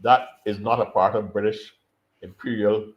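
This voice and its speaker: A low-voiced man lectures at a steady, considered pace, circling back on words as he builds each point. The sound is dull and lo-fi, like audio from a remote call. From Barbados. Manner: measured, explanatory, repeats words while thinking. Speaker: invited speaker, political scientist. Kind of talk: conference talk over video call.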